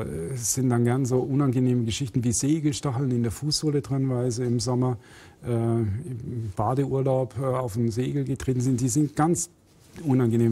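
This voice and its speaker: A man's voice: mid-range voice, warm tone. A man in his fifties, a medical expert guest explaining things conversationally in a studio interview. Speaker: hand surgeon, expert guest. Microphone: clip-on lapel mic.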